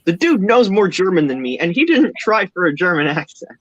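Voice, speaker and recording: A man joins a tabletop game session with wry, sarcastic remarks. His slightly high voice swings up and down a lot. The sound is a little dull and lo-fi. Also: very animated, a little fast, dry recording. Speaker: player. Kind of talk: casual chat at a tabletop game.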